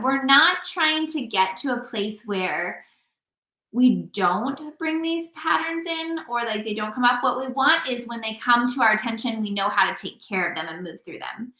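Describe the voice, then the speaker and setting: A woman's voice: fairly high pitch, bright, forceful tone. A woman hosting a relationship podcast as an intimacy coach, talking casually and guiding the talk, a little scattered. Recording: echoey room.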